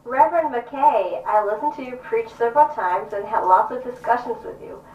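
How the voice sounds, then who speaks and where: A woman's voice: fairly high pitch, normal speed, close to an everyday voice. A woman playing an earnest young male convert in a puppet show, reciting formally. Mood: earnest, determined. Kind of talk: stage puppet show acting. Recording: distant echoey muffled stage recording.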